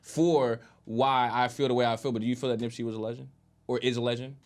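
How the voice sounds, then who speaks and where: Casual American man, podcast host, ordinary pitch, slangy banter with repeated phrases. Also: steady tone, fast.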